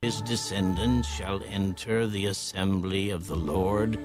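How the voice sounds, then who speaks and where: A man's voice: low-pitched, steady tone, unhurried. A man reading scripture aloud as a solemn, scripted audio narration. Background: music.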